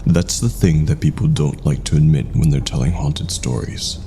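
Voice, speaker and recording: A man with a gravelly voice narrates a scripted first-person horror story in a deliberate, suspenseful manner, leaving pauses between short dramatic phrases. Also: very deep voice, mellow, slight background noise.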